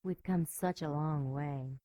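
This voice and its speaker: Low, sly demon temptress. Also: female voice, slow pace. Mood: sly menace. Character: low-voiced, sinister, flirtatious female demon seductress. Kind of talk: audio drama acting. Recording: loud background noise, clicks.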